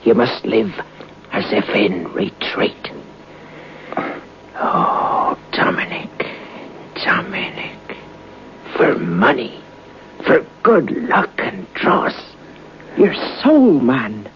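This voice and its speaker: An actor playing a grave, fretful priest in an old radio drama, earnestly admonishing and lamenting. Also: male voice, mid-range voice, big pitch swings, extremely slow, pauses often. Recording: muffled, hissy old radio broadcast.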